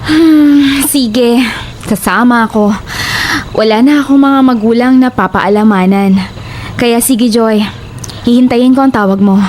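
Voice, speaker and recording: Woman playing a high-pitched, breezy best friend. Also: a little slow, slight background noise, close to an everyday voice. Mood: breezy, caring. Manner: breezy, teasing, sharp-tongued. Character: chatty, blunt young woman, a loyal best friend. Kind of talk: audio drama dialogue.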